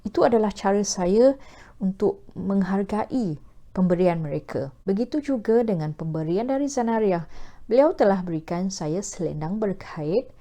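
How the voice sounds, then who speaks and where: Casting: Malaysian female host, soft mellow tone, formal and measured newsreader delivery to camera. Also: mid-range voice, lively intonation. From Perak, Malaysia. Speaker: host of a talk video series. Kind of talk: formal scripted presentation to camera.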